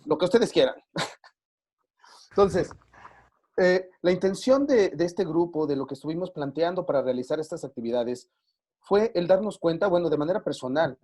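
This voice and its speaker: A man's voice: fairly high pitch, warm tone. A man hosting an online group session as life coach, chatting casually with frequent fillers over a video call.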